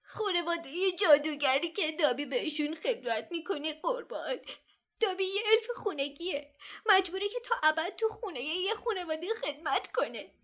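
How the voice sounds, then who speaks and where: A woman voicing a small, servile male house-elf who sobs, squeaks and calls everyone sir. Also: lively intonation, a bit bright. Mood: pleading. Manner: squeaky, sobbing, self-abasing, says sir constantly. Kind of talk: audiobook character voice.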